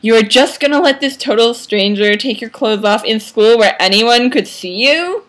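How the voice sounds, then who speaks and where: A young woman voicing an indignant, hot-tempered teenage girl with loud, exaggerated outrage in a fan fiction reading. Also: on the high side, normal speed, lightly acted. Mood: shocked.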